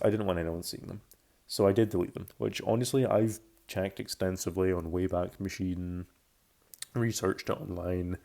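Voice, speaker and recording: Low, mellow young man with creaky voice. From Belgium, Scotland and France. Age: early 20s. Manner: rambling, self-correcting, restating phrases. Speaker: YouTuber. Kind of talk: casual video commentary about himself.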